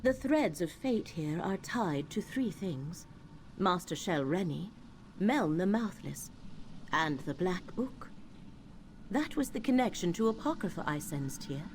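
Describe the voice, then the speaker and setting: A woman playing a solemn, cryptic seer in a video game, speaking in a measured, formal and earnest way. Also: fairly low pitch, very animated, slight background noise. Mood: explanatory, solemn. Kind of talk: video game character acting.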